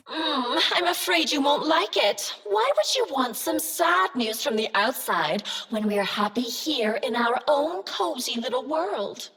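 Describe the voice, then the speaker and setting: An actress voicing a clingy, jealous female crafting machine who flirts and purrs pet names in a breathy, seductive way. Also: fairly high pitch, fairly bright tone, full character voice. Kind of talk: video game character dialogue.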